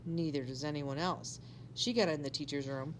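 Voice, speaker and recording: A woman's voice: deep voice, normal speed, slight background noise, natural voice, low-quality recording. A woman voicing a bossy, scheming schoolgirl in a storybook, telling tall tales in an insistent, conspiratorial way. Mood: dark, menacing.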